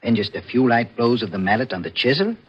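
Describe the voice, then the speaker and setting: Swooping, theatrical elderly diamond cutter. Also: male voice, medium pitch, expressive, husky. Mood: musing, proud. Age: 70s. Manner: theatrical, savouring, deliberate phrasing. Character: proud elderly diamond cutter, courteous and ominous. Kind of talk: 1940s radio thriller acting. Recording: muffled, noisy vintage radio recording.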